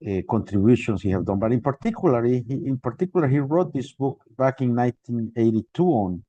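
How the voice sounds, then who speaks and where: A man's voice: medium pitch, expressive, brisk, mellow, no echo, dull audio. Costa Rican man lecturing over a video call. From Costa Rica. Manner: hesitant, many restarts and fillers. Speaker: guest lecturer. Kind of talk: online academic lecture. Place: home, remote video call.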